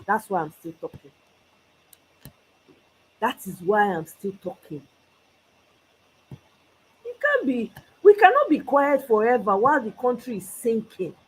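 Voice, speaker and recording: A woman's voice: a little high, very animated, measured pace, long pauses, husky, poor audio. A Nigerian woman hosting her own commentary channel, talking with passion and moving between English and Pidgin. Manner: impassioned, mixing Pidgin with English. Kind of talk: solo political commentary. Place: abroad, away from Nigeria.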